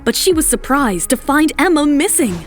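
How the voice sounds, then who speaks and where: A woman's voice: high-pitched, animated, normal speed. A woman narrating a scripted romance audio drama.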